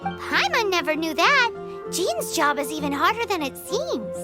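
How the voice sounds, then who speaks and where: A woman voicing a small, cheeky fairy-like girl companion in an anime video game, squeaky, childlike and exclamatory. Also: very animated, a little slow, music in the background, heavily acted. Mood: surprised. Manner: refers to herself in third person, exclamatory.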